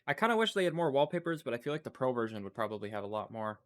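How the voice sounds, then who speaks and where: A man with a blocked, stuffy nose talks in a relaxed, unscripted way as he walks through software on screen. He thinks aloud, wonders about things and trails off mid-thought. His pitch sits in an ordinary middle range. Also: very fast. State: stuffy, congested from a cold. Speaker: tech channel host. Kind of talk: casual screen-recording commentary.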